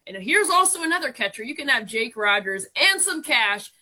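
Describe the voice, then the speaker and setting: Female sports analyst, twenties, bright and fairly high voice, informal, emphatic commentary. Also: very animated, moderate pace. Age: 20s. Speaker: sports radio guest analyst and producer.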